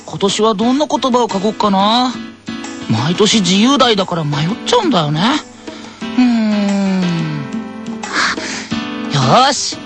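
A woman's voice: mid-range voice, expressive, a little slow, long pauses, lightly acted. A woman voicing a cheerful schoolchild thinking aloud in a sing-song way in an anime radio skit. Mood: playful, upbeat. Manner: sing-song, talking to self. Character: cheerful schoolchild musing over New Year calligraphy. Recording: music playing behind the voice.

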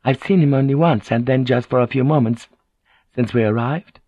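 A man's voice: medium pitch, a little fast, a little mellow, no room sound, lo-fi sound, pitched above the performer's own voice, lightly acted. A man voicing a polite, guarded noblewoman in audiobook dialogue, formal and controlled under her anxiety.

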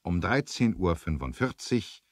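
Male narrator, low voice, lively intonation, suspenseful emphasis, vintage adventure radio play, dry close sound. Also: unhurried, no echo. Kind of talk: dramatic scripted storytelling for 1970s adventure radio play.

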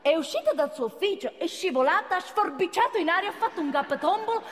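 A simple, earnest cleaning woman. She rambles colloquially in a high, swooping voice, in the manner of comic theatre. The recording has hall reverb and an audience behind her. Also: lively intonation, quick pace. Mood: naive, animated. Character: simple, chatty cleaning woman recounting gory mishaps. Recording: echoey theatre, audience and background noise.

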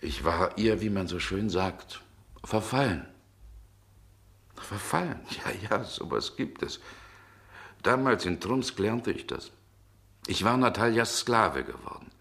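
Low, brooding middle-aged aristocratic rake. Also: male voice, lively intonation, takes it slowly, vocal fry, pauses often, fairly bright tone, full character voice. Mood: reminiscing, hesitant. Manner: mannered, ruminative, old-fashioned formal speech. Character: brooding aristocratic aging rake, restless and world-weary. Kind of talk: German radio play acting.

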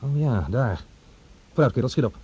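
An actor in a radio drama playing a commanding, stubborn doctor and scientist on Mars, speaking with authority. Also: male voice, mid-range voice, animated, moderate pace, darkish tone, slightly rough. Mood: alarmed. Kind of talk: Dutch radio drama acting. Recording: dull noisy old radio recording.

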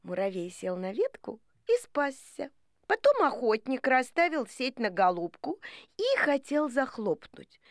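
Female narrator for animal tales: high register, expressive storybook delivery, very dry sound. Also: no room sound.

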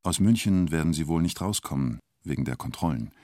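Deep-voiced man narrating a radio drama. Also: animated, brisk, darkish tone, dry recording, clean studio sound. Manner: terse present-tense storytelling in short clipped phrases.

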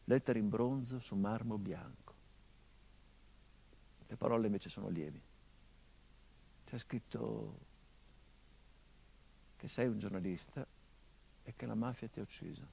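A brooding, bitter grieving son. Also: male voice, lowish voice, a little slow, gravelly, pauses often, husky, full character voice, low-quality recording. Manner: brooding and bitter, swinging from hushed to impassioned. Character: grieving middle-aged son of a murdered journalist. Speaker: actor in a stage monologue. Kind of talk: theatrical monologue broadcast on radio.

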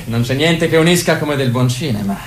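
A man's voice: mid-range voice, animated, fairly quick, natural voice. A sly, smug political schemer, acted by a man, who insinuates with open amusement. The theatrical voice bounces around a roomy space over faint noise. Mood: smug, insinuating. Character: sly, smug, manipulative party official.

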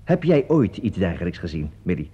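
Veteran stage actor, gossipy, sardonic, very lively intonation, radio play, muffled recording. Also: male voice, sits low, a little fast, breathy edge, exaggerated voice. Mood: catty, sardonic. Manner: theatrical, drawling, sardonic. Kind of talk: Dutch radio play acting. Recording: dull lo-fi radio drama recording.

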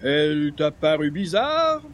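A man's voice: a little high, sing-song, slow, fairly bright tone, full character voice. A man playing a precise, puzzled comic busybody, articulate and theatrical, in a radio comedy. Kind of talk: old-time radio comedy acting.

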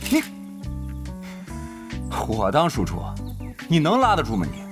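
A man playing a proud, sarcastic young tank player in a Chinese audio drama, mocking and quick to bristle. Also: a little high, huge pitch swings, over music. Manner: mocking, haughty, challenging.

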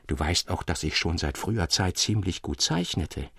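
Romantic young dreamer for literary radio drama: fervent, old-fashioned delivery, husky voice, dry close studio sound. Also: male voice, low-pitched, lively intonation, moderate pace, bright, forceful tone, dry recording, heavily acted. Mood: recollecting. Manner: fervent, rapturous, formal old-fashioned storytelling. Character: romantic young man fervently recounting a dreamlike infatuation to his friend.

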